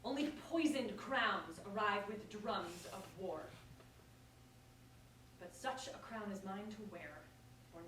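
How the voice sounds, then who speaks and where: A woman's voice: medium pitch, takes it slowly, pauses often, natural voice. A woman playing a proud, principled ruler in a staged verse comedy, declaiming her lines. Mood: anguished. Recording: distant mic in echoey room, hiss.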